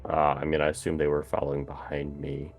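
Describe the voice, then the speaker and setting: A man's voice: very deep voice, monotone, a little fast. A man playing a tabletop roleplaying game, chatting casually about his moves.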